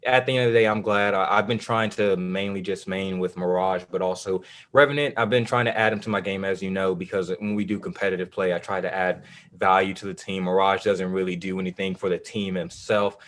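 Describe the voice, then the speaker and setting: A man with a very deep voice talks casually on a gaming podcast. He rambles through his points with lots of likes and restarts, in a loose and easygoing way. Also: steady tone, fairly quick, few pauses, fairly bright tone, a little hiss or hum. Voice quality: low voice. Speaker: podcast co-host.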